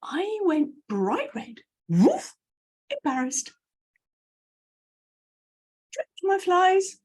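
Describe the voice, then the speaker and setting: A woman's voice: very high voice, wildly swooping pitch, very slow, a little mellow. A British woman coaching her audience to camera, telling anecdotes with self-deprecating asides. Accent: British English. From United Kingdom. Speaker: message clarity coach.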